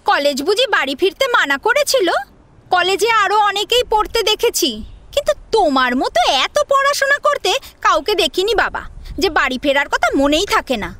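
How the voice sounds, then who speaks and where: Spiteful sister-in-law for an audio serial played by a woman: high, swooping, sarcastic, mock-sweet needling. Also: a bit bright, no echo, full character voice. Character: spiteful, taunting younger sister-in-law in a Bengali household. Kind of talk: Bengali audio serial acting.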